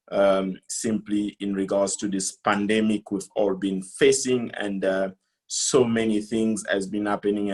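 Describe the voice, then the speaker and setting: Low-pitched man presenting, halting delivery. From Democratic Republic of Congo. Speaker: conference speaker, company founder. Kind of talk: online business presentation. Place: video call.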